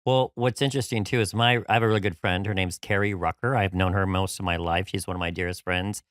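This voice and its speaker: A man's voice: deep voice, fairly even intonation, quick pace, fairly bright tone, no room sound, studio quality. A man from New York hosting a podcast interview, talking in an upbeat, effusive way with many "you know"s. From New York City. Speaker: podcast host. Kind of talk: casual interview chat.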